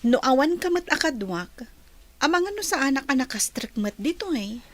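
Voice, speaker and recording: A friendly young female intern in a radio drama. The voice is high-pitched and conversational. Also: very animated, normal speed. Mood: cheerful, friendly.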